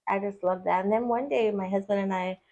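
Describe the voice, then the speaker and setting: This is a middle-aged woman at an ordinary speaking pitch, talking as a podcast guest. She rambles warmly through anecdotes, restarting sentences and laughing often. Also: fairly even intonation, a little fast, lo-fi sound. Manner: chatty, rambling storyteller who laughs easily. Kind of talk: casual conversation.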